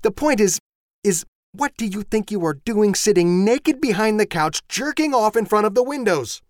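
A man voicing a scandalized wife who scolds in a shrill, indignant voice. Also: wildly swooping pitch, fairly quick, dry recording, clean studio sound.

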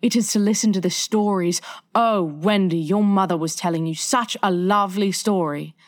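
A boyish, cocky young lad from a storybook, acted by a woman, earnest and persuasive. The voice sits at a medium pitch for a woman and sounds close and dry, with a coaxing edge. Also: normal speed, no echo, natural voice. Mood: wistful, eager. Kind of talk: storybook character voice.

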